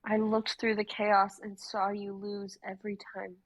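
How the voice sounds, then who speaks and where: Woman acting a bitter, even-toned female foe. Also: medium pitch, a little slow, rough recording. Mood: cold challenge. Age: young adult. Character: bitter, vengeful young woman, former friend turned foe.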